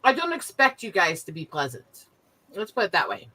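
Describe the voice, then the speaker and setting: Snarky woman, sick, with a bright voice. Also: medium pitch, wildly swooping pitch, normal speed. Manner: dry, snarky. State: sick for two weeks. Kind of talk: casual chat.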